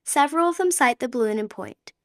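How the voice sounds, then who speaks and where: A woman giving clear, descriptive scripted narration for a radio drama. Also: sits high, expressive, brisk.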